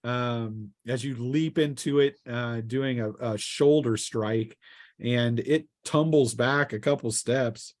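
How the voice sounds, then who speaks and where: Male game master, middle register, unhurried and halting, improvised tabletop narration. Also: mid-range voice.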